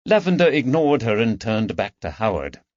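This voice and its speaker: A man's voice: mid-range voice, big pitch swings, moderate pace, a little mellow, no echo, lo-fi sound. A man reading scripted mystery fiction as an audiobook narrator.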